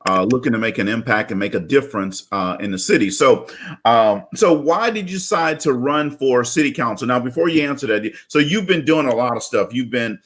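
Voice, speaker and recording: Man, average pitch, talk show host, conversational, affirming, repeats words, video call. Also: animated, fairly quick. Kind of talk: conversational interviewing.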